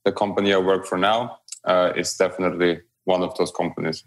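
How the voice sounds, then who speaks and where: A young man, a guest in a remote interview, speaking in a relaxed, hesitant way with fillers and restarts. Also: deep voice, a little fast. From Romania. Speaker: guest, blockchain company growth lead.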